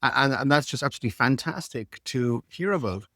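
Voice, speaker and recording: A man's voice: mid-range voice, lively intonation, a little fast, bright, forceful tone, no echo. A man hosts a podcast conversation in an ordinary mid-range voice. He stammers and restarts often, repeating small words before his questions lift at the end. The recording is close and dry. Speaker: podcast host. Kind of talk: interviewer, casual chat.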